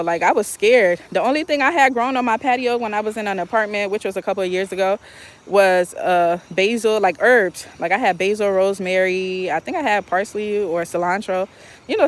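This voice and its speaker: Chatty woman gardening outdoors. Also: medium pitch. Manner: chatty, laughing often, talks to viewers. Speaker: gardening vlogger. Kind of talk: casual live chat while gardening.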